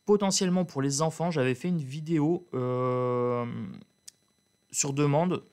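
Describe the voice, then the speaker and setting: A man's voice: a little high, a little slow, a little mellow, clean tone. A man giving a casual, outspoken card reading to his viewers, drawling with many fillers and restarts. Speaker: card reader. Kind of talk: casual tarot reading to camera.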